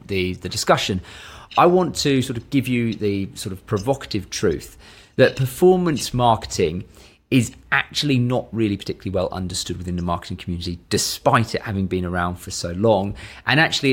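A man hosting a business podcast, asking questions in a measured, conversational way with frequent ums. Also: on the low side. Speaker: podcast host. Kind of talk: podcast interview.

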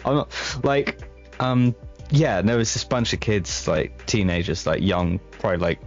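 A man's voice: fairly low pitch, expressive, measured pace, muffled recording, faint music behind. A man co-hosting a casual podcast, rambling and hesitant, with plenty of fillers. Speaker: podcast co-host. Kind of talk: casual chat.

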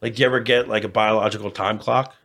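A man's voice: fairly low pitch, fairly even intonation, quick pace, fairly bright tone. An American man in his thirties chatting casually and candidly, swearing often and rambling as he repeats himself. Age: 30s. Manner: profane, rambling, repeats himself, frequent "you know". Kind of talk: casual candid podcast chat.

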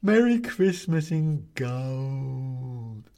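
A man voicing an eerie hooded figurine in a storybook, prying in a slow, insistent way. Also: medium pitch, big pitch swings, vocal fry, mellow, exaggerated voice.

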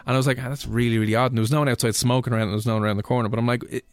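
A man's voice: medium pitch, level tone, racing, no room sound. An Irish man co-hosting a radio show, telling rambling, chatty stories in casual studio talk. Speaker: radio co-host.

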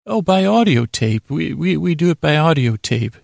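A man's voice: fairly high pitch, sing-song, normal speed, gravelly, darkish tone, no room sound, clean studio sound, pitched above the performer's own voice. A man voicing a prim, apologetic institute representative who stammers as he politely turns people down. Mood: flustered, politely refusing. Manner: hesitant, stammering, politely dismissive. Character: prim, apologetic bedtime story institute representative turning down requests.

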